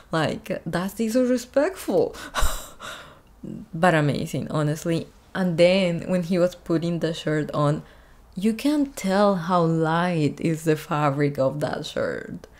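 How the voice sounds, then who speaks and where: Slow-talking low-voiced woman vlogger. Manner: chatty, many fillers like "like" and "honestly". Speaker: fashion designer and YouTube host. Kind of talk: casual reaction commentary.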